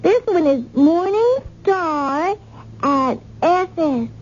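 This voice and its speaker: A woman playing an excitable, curious little girl in a 1970s radio drama. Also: extremely high pitch, takes it slowly, exaggerated voice. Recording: muffled old radio broadcast.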